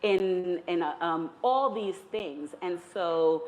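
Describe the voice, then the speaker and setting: A woman's voice: fairly low pitch, slow, a little mellow, highs cut off. A woman speaking on an academic panel, building her points in careful spoken lists. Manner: thoughtful, builds points in lists, some fillers.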